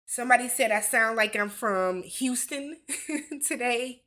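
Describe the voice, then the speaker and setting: Chatty woman host in her forties, bright and slightly high voice, loose livestream Q&A. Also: a little slow. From Milwaukee, Wisconsin. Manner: chatty, laughs often, reads viewer comments aloud. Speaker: YouTube host. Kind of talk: casual livestream Q&A chat.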